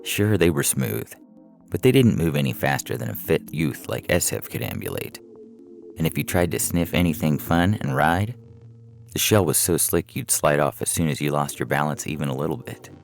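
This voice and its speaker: A man's voice: a bass voice, big pitch swings, moderate pace, creaky. A man reading a humorous science fiction story as a scripted narration, with music playing behind him.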